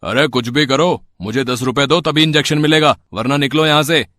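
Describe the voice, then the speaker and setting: A man's voice: medium pitch, brisk, sharp tone, no room sound, natural voice. Man acting a curt, greedy shopkeeper. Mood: curt, dismissive. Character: greedy male medicine shopkeeper, hard and dismissive. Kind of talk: acted dialogue in a Hindi moral story.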